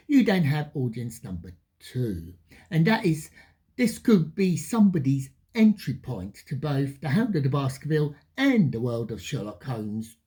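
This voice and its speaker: A film reviewer giving a retrospective commentary, phrasing things deliberately and stressing key words. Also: mid-range voice, warm tone, breathy edge. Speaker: film reviewer, channel host.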